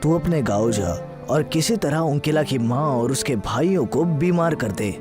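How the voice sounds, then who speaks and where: A man's voice: fairly high pitch, expressive, moderate pace, over music, lightly acted. A man voicing an arrogant, scheming boss, the menacing and commanding villain of a cartoon moral story.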